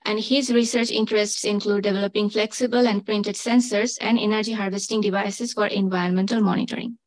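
A woman moderating a conference panel, reading speaker introductions with frequent pauses and fillers. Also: medium pitch, steady tone, a little mellow, no echo. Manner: halting, many uh fillers.

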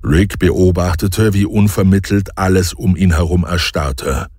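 Deep, mellow male narrator, measured delivery, dry studio sound. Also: husky, no echo. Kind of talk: scripted narration.

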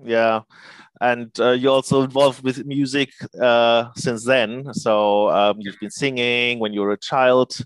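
Smooth-voiced male interviewer with headset. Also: medium pitch, fairly even intonation, no echo, rough recording. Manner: halting, many fillers, non-native phrasing. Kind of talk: casual interview over video call.

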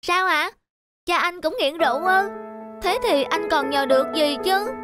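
A woman plays a poor teenage shoeshine boy in a cartoon. His voice is high and youthful, humble and earnest in manner. There is clear music in the background. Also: moderate pace. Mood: incredulous, questioning. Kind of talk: cartoon character acting.

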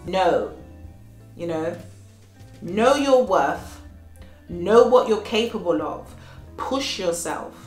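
A woman's voice: medium pitch, a bit bright. Earnest woman in her thirties with a cold. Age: 30s. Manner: earnest, repeats phrases for emphasis. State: slight cold, voice going. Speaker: vlogger. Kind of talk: motivational life-lessons chat to camera. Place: at home, child playing in next room. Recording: echoey room with faint music.

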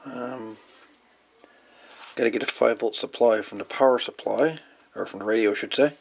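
A man's voice: medium pitch, moderate pace, creaky, breathy edge. A man hosting a casual hands-on electronics demo, rambling and thinking aloud as he goes. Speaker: hobbyist video host.

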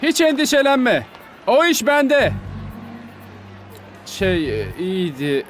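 High-pitched, eager young cartoon detective. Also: male voice, sing-song, slow pace, soft background music, some chatter behind, full character voice. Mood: eager, reassuring. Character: eager, quirky young male amateur private detective. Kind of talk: dubbed children's cartoon dialogue.

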